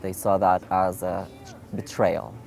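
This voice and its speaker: A man in his thirties from Iraq, an Assyrian speaker, telling his own story in an interview in a halting way, with frequent restarts. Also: low voice, flat delivery, creaky. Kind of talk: personal storytelling.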